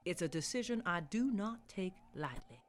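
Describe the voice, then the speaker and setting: A woman's voice: on the low side, animated, moderate pace, natural voice. A woman playing an earnest, solemn President facing a nuclear attack in a comedic radio play, theatrical and grandiose. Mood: solemn, grave.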